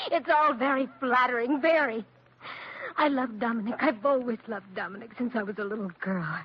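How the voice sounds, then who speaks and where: A woman's voice: a little high, normal speed, a little hiss or hum, close to an everyday voice. An actress playing a passionate, scornful young Italian-American woman spurned in love, in theatrical 1940s radio drama style. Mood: bitter, dramatic. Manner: theatrical, emotional, repeats words for emphasis. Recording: dull old radio drama recording.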